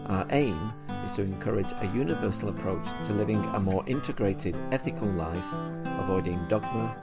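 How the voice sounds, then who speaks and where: A man hosting a podcast interview, asking his guest long, measured framing questions. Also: lowish voice, over loud music. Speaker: podcast host. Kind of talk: conversational interview.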